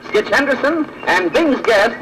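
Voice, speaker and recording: A man's voice: very high-pitched, animated, unhurried, noisy background. A man working as a radio announcer, introducing an old-time variety show over music. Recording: vintage radio transcription, music underneath.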